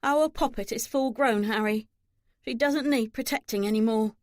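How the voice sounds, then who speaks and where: A woman's voice: fairly high pitch, animated, moderate pace, no room sound, clean studio sound, natural voice. A woman voicing an older female servant in an audiobook novel, fiercely protective, blunt and insistent. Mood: softer.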